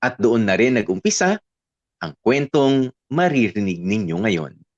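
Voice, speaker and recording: A man playing a reflective letter-writer narrating college memories in the first person for a radio drama, in a measured storytelling manner. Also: fairly low pitch, sing-song, heavy background noise. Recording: dull, lo-fi audio.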